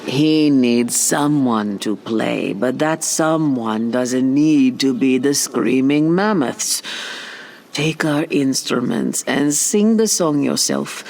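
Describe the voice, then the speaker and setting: An actor playing a sick, exhausted, hungover musician in a fantasy game. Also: male voice, mid-range voice, takes it slowly, creaky, darkish tone, slight background noise. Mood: weary, persuasive. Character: queasy, hungover male musician, sorry but scheming. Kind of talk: scripted fantasy game dialogue.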